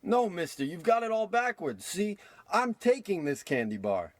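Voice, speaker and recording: A man's voice: high-pitched, huge pitch swings, normal speed, no echo, heavily acted. A man playing an exasperated small-time crook in a parody old-time radio play, whining and pleading in old gangster slang. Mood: flustered.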